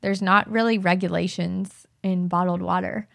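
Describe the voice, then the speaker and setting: A woman co-hosting a chatty home-health podcast, her voice rough and gravelly because she is losing it. She talks in a conversational way with quick asides. The sound is close and dry. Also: fairly low pitch, steady tone, dry recording. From Florida. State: losing her voice, deeper than usual. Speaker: podcast co-host. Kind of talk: casual chat.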